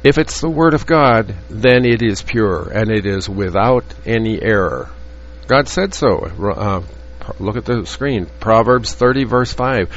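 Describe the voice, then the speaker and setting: A man teaching from the Bible in an earnest, halting way, with frequent restarts and fillers. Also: medium pitch. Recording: dull lo-fi audio with faint hum.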